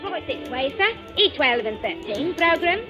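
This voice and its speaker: A woman's voice: high-pitched, big pitch swings, normal speed, music in the background. A woman playing a businesslike theatre usher with clipped singsong calls in a 1940s radio play. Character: brisk female theatre usher calling out seats.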